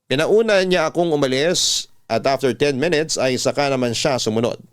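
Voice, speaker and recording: A man delivers scripted first-person narration in a radio drama style. His voice sits in the middle range and is very clear and resonant. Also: mid-range voice, normal speed. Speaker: storyteller, narrator.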